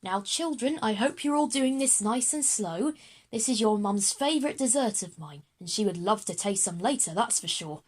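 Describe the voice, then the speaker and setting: A woman's voice: medium pitch, animated, moderate pace, breathy edge. Woman playing a kindly cookery teacher. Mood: encouraging.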